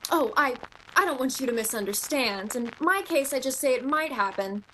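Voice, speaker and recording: A woman acting in a radio play as a romantic, earnest young shop clerk, expressive and theatrical. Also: sits high, moderate pace, a little hiss or hum, low-quality recording. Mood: puzzled, anxious. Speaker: amateur actor.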